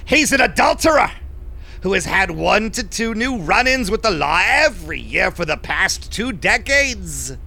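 A man's voice: sits high, very animated, measured pace, vocal fry, bright, forceful tone, exaggerated voice. An actor playing a sly, self-assured male vampire businessman who schemes and tells his own story in an audio drama. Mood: sly, confiding. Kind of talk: audio drama acting and first-person narration.

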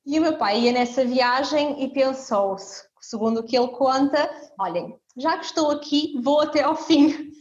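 A woman's voice: sits high, moderate pace, few pauses, darkish tone, highs cut off. A young woman from Ukraine, talking reflectively as an interview guest. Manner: reflective, speaks in short clauses with frequent "não é?". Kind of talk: casual interview conversation.

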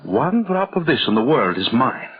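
Radio melodrama villain: obsessed mad scientist, feverish and grandiose, rough-edged voice, dull lo-fi recording. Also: male voice, medium pitch, sing-song, moderate pace. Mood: commanding, scornful. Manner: grandiose, feverish, insistent, repeats words. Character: megalomaniac male scientist obsessed with a speed potion. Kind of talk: old-time radio thriller acting.

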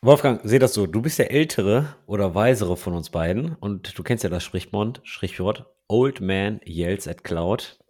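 A German man co-hosting a podcast, chatting casually and telling lively anecdotes. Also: lowish voice, unhurried, hardly any pauses. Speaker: podcast co-host.

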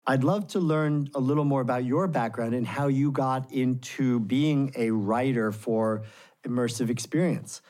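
Measured podcast host asking questions. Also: medium pitch, fairly even intonation, darkish tone. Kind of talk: podcast interview.

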